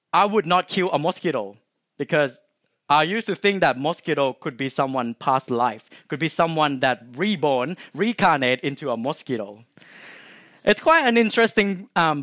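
A man's voice: a little high, fairly bright tone, dry recording, studio quality. Young Thai man telling his story. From Thailand (Chiang Mai). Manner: chatty and informal, many "um"s and restarts, asks the audience questions. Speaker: guest speaker sharing testimony. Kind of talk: personal faith testimony to an audience.

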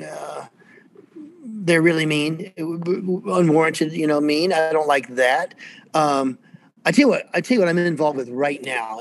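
A man with a squeaky voice, a freelance percussionist chatting casually and rambling in an interview. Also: poor audio. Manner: rambling, self-deprecating, chuckles often.

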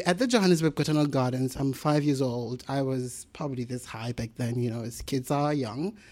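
A South African man in his thirties, a plant biologist telling a playful, animated story in a talk. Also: high voice. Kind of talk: storytelling presentation.

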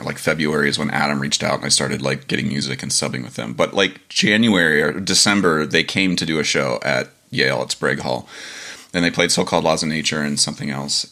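A man in his thirties hosting a podcast, telling stories animatedly, laughing often and acting out what people said. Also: sits low. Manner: animated storyteller, laughs often, acts out quotes. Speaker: podcast host. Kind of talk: casual interview chat.

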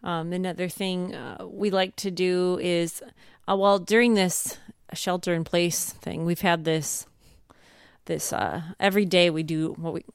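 A woman's voice: fairly low pitch, fairly even intonation, a little slow, gravelly, smooth, dry recording, clean studio sound. A woman in her thirties chatting earnestly and casually as a co-host and mother, sharing advice in a conversational way.

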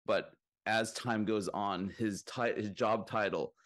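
Man, very deep voice, podcast co-host, casual rambling chat, many fillers. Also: takes it slowly, fairly bright tone. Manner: rambling, lots of fillers and restarts. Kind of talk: casual chat about films.

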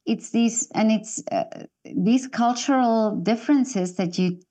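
A woman from South Africa hosting an interview, speaking hesitantly with many pauses and restarts. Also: fairly high pitch, dark tone. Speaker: interview host. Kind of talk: interview conversation.

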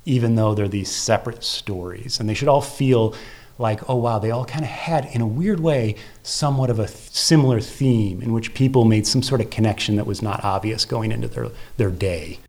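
A man's voice: lowish voice, normal speed, darkish tone. A man speaking as an interview guest in a conversational, explanatory way, thinking aloud as he goes. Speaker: interview guest, theatre festival curator and producer.

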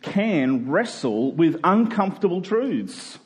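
An Australian man preaching a sermon as a church pastor, earnest and emphatic with deliberate phrasing. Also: fairly high pitch, sing-song, a little mellow.

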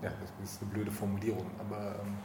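A man's voice: fairly low pitch, completely flat delivery, brisk. A man interviewing casually and unscripted, tentative as he searches for words and corrects himself. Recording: roomy echo, clear background noise.

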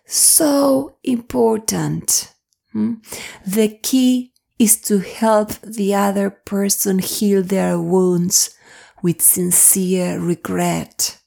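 A woman hosting a wellness podcast, slightly hoarse, talking directly to listeners in a deliberate, carefully enunciated way. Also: medium pitch, steady tone, dark tone. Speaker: podcast host, wellness coach. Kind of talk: podcast talk addressed to listeners, part scripted.

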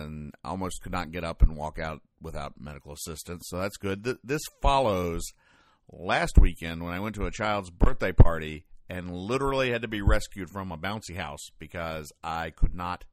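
A man hosting a podcast, giving casual, wry comedic commentary in a deadpan way. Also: low-pitched, moderate pace, no room sound. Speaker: podcast host. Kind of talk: casual comedic commentary, explaining comic strips.

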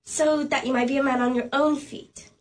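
An actress playing a sweet, eager young girl, the hero's little sister, speaking excitedly in a radio play. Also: high-pitched, normal speed, recorded from a distance, close to an everyday voice. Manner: excited, pleading.

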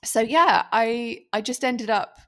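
A woman's voice: a little high, takes it slowly, fairly bright tone. A British woman in her twenties, a guest on an interview podcast, telling her story in a chatty way with lots of "um" and "kind of". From United Kingdom. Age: 20s. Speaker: guest, food photographer. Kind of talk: casual interview chat.

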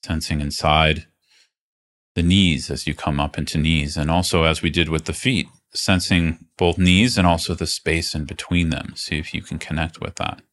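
Very low-voiced man guiding a meditation. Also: steady tone, fairly bright tone. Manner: unhurried, reassuring, phrases broken by pauses. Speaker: meditation teacher. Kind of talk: guided meditation, gently instructive.

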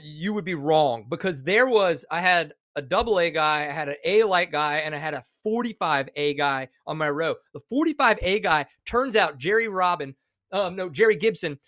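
An excitable man in his forties, talking emphatically as a podcast co-host. His voice is high for a man and bright, with a sharp edge. It is recorded close and dry. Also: no room sound. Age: 40s. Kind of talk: casual podcast chat.

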